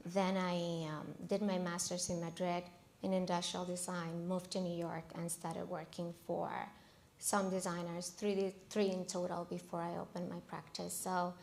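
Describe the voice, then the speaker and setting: Female panelist, native Spanish speaker with Dominican accent, informal storyteller, fry-heavy voice. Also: fairly low pitch, little pitch movement, measured pace, darkish tone. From Dominican Republic. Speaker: panelist, interior designer. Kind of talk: conversational career story at a panel talk.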